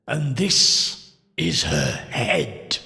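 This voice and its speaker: A man voicing a solemn, menacing husband in a horror story, intoning slowly in a hushed, ominous way. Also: a little high, expressive, gravelly, exaggerated voice. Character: husband solemnly intoning a macabre dead-witch game. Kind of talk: dramatic horror story reading. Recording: long cavernous reverb.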